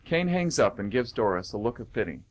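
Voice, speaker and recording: A man's voice: on the low side, very animated, a little fast, poor audio. A man narrating scripted stage directions for a radio play.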